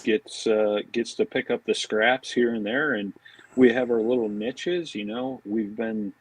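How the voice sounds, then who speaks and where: A man's voice: mid-range voice, unhurried, slightly rough, no room sound, rough recording. An American man talking casually as an interview guest, rambling informally and often dropping in "you know" and "man". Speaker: podcast guest, fishing products marketing director.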